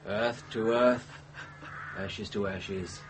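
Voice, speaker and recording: A solemn clergyman at a graveside in a radio drama. His delivery is measured and very slow, with clear noise in the recording. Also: on the low side, fairly even intonation. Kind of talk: solemn liturgical recitation.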